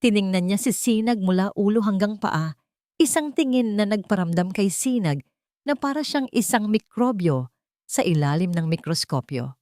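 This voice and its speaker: A woman's voice: mid-range voice, expressive, normal speed, a little mellow, dry recording, studio quality. A woman storyteller reading a scripted, dramatic narrative.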